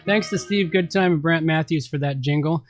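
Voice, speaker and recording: A man's voice: fairly high pitch, normal speed, heavy background noise. A man co-hosting a podcast, reviewing products in a casual, chatty, folksy way. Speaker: podcast co-host.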